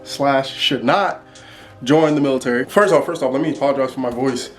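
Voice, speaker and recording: A young man from South Florida with a raspy, congested voice, vlogging casually in a car about military life, loose and chatty with lots of "like" and "um". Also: medium pitch, brisk, soft background music. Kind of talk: casual vlog while driving. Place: inside a car. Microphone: camera mic.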